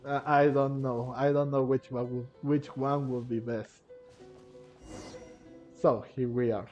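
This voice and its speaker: A man's voice: medium pitch, level tone, slow. Male gamer, muffled microphone, faint background music, casual commentary, hesitant, repetitive phrasing. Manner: hesitant, thinking aloud, repeats himself. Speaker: gaming video creator. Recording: dull, lo-fi microphone. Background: faint game music.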